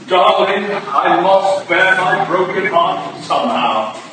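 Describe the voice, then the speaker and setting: A man playing a teasing, idle gentleman and charming flirt, wry and playful, in a staged reading of a period drama. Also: high-pitched, lively intonation, slow pace. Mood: wry, mock wounded. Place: staged reading in a large echoey room. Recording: echoey hall from far off, faint noise.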